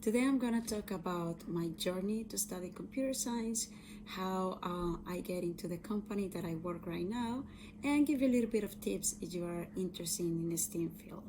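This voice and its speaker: A woman's voice: fairly low pitch, normal speed, background noise. A woman in her thirties from Colombia with a Colombian Spanish accent, giving an earnest personal talk about her career with frequent fillers and restarts. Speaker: software engineer and data scientist.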